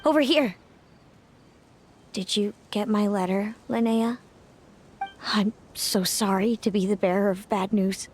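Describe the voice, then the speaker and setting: A woman voicing a composed, sorrowful young woman in a video game, speaking in a solemn, gentle and reflective way. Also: medium pitch, a little slow, pauses often, slight background noise, close to an everyday voice. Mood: urgent, sorrowful. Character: Selena, composed, sorrowful long-lived sister, a young woman.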